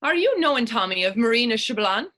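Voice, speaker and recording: Female actor as determined Irish actress, crisp bright tone, lively lilting pitch, earnest, coaxing, spirited, stage drama. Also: fast. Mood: admiring. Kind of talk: stage readers theater dialogue.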